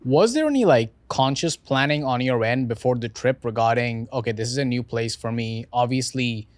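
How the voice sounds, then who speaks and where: A man's voice: medium pitch, lively intonation, normal speed, no echo. Probing male podcast host, dry close sound. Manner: probing, stammering restarts. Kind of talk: interviewer questions.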